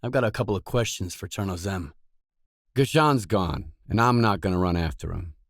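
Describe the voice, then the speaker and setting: An actor voicing a gruff, guarded stalker mercenary in a video game, blunt, terse and no-nonsense. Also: male voice, deep voice, a little fast, no room sound, studio quality. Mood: terse, businesslike. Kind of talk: video game dialogue lines.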